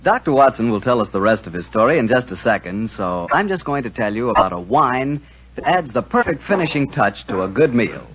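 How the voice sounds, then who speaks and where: A man's voice: mid-range voice, very animated, fairly quick, over music. A male announcer reading a folksy, persuasive scripted radio ad. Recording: dull old radio broadcast.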